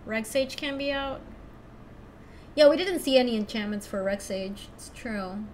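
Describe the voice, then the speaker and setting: A young woman from Ecuador chatting casually through a card game stream, thinking out loud and laughing often. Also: a little high, unhurried, slight background noise. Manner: chatty, giggly, thinks aloud to chat. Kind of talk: casual live-stream commentary.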